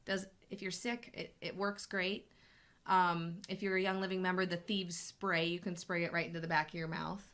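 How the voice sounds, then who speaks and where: A woman's voice: fairly low pitch, level tone, normal speed, a bit bright. A woman hosting a casual live question-and-answer chat as a health coach, talking in a chatty, rambling way with many restarts, her voice still recovering from an illness. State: voice still recovering from being sick. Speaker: health coach and show host.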